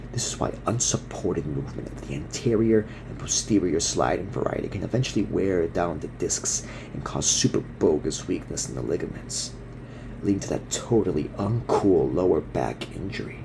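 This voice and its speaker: A man voicing a laid-back gorilla surfer dude who teaches in slang, in a gravelly, breathy half-whisper for an ASMR parody. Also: deep voice, expressive, moderate pace, background noise. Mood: laid-back, instructive. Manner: surfer slang, soothing instructional delivery.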